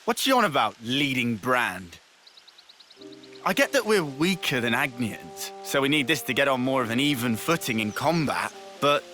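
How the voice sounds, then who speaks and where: A man voicing a brash, impatient young fighter in a video game, blustering casually and halting as he hunts for words. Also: medium pitch, very animated. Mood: exasperated. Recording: loud game music underneath.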